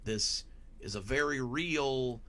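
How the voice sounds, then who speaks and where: A man's voice: mid-range voice, slow pace, sharp tone. A man voicing a stern, serious general manager in a horror story, weighing his words carefully. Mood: stern, measured. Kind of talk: audiobook horror story dialogue.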